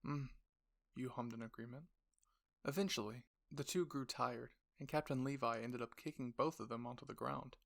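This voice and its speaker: A man's voice: medium pitch, normal speed, gravelly, a bit bright. A man reading scripted second-person story narration.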